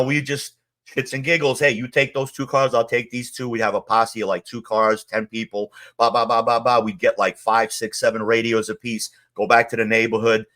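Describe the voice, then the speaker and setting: A man's voice: medium pitch, fairly bright tone. A middle-aged man telling stories in a rambling, streetwise way, with many "you know"s, as an interview guest. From Queens, New York. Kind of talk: casual storytelling.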